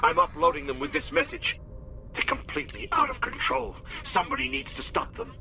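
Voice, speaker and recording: An actor playing an alarmed military officer in a video game, sending a grim warning with tense urgency. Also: male voice, very high voice, wildly swooping pitch, a little fast, gravelly, noisy background, exaggerated voice. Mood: desperate, resigned. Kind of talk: dramatic video game voice acting.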